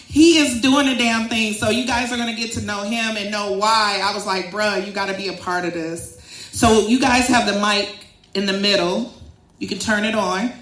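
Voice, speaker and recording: A woman's voice: mid-range voice, a bit bright. A woman introducing an event and its hosts in a loose, chatty, joking way. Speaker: event organizer and host. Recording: echoey room.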